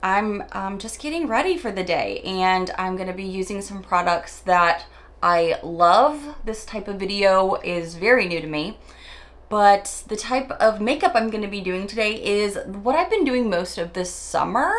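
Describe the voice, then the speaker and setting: A woman beauty vlogger chatting casually while doing her morning makeup, her voice raspy from just waking up, a little nervous and rambling, tripping over her words and laughing at herself. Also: mid-range voice, expressive, measured pace, fairly bright tone. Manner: nervous, self-deprecating, rambling with stumbles. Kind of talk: chatty get-ready-with-me monologue.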